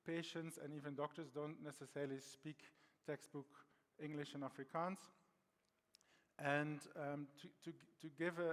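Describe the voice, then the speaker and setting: A middle-aged man from Belgium with a Belgian accent, giving a conference presentation with frequent ums and restarts. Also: on the high side. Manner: hesitant, many ums and restarts. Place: conference hall. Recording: long hall reverb.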